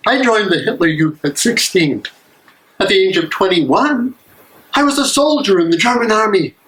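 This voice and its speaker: A man's voice: high-pitched, lively intonation, moderate pace, fairly bright tone. Male actor as disillusioned German soldier, anguished, sarcastic, stage play reading, reverberant room. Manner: emotional, sarcastic, despairing. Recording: echoey room, live reading.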